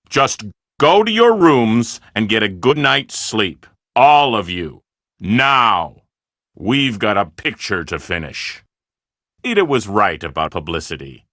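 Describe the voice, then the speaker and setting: Theatrical, scheming film producer, animated delivery. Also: male voice, mid-range voice, takes it slowly, no echo, studio quality, exaggerated voice. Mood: angry, commanding, then grave, desperate. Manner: formal, theatrical, emphatic. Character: scheming, self-important male film producer desperate for publicity. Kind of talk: video game voice acting.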